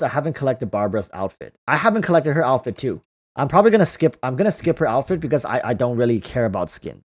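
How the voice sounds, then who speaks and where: A man hosting a casual livestream, reading out and answering viewer comments, often repeating phrases as he thinks aloud. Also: mid-range voice. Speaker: livestream host.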